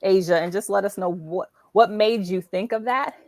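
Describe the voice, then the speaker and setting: Animated, encouraging woman host with creaky voice. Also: mid-range voice, normal speed, no echo, clean studio sound. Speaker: show host. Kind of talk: lively conversational interview.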